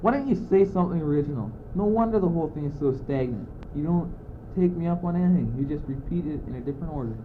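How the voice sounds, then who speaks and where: A man's voice: on the high side, expressive, fast talker, hardly any pauses, lightly acted. An impatient, philosophizing courtier, acted by a teenage boy in a student radio play. The delivery is declamatory and exasperated. The recording is very tinny and plainly noisy. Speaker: student actor. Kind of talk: amateur radio play acting. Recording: noisy phone-like old school recording.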